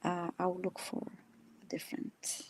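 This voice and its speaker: A woman co-hosting a live stream in casual chat, with a hesitant, halting way of talking. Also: lowish voice, monotone, darkish tone, slight background noise, low-quality recording.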